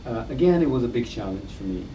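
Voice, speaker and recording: A mature man with an Ethiopian accent, a native Amharic speaker, recalling his experiences haltingly in an interview. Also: mid-range voice, expressive. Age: middle-aged or older. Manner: halting, repeats words. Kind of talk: halting personal recollection in interview. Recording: echoey room, distant mic, faint noise.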